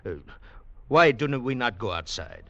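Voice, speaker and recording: An actor playing an uneasy, superstitious French Foreign Legion sergeant in a 1940s radio drama. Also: male voice, medium pitch, expressive, normal speed, bright, forceful tone, muffled recording, soft background music.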